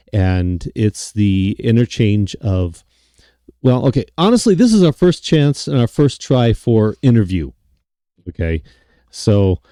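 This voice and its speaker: Hoarse, raspy man with a cold. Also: dark tone, dry recording, clean studio sound. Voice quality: deep, raspy. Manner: rambling, self-mocking. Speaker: podcast host. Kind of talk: casual chat.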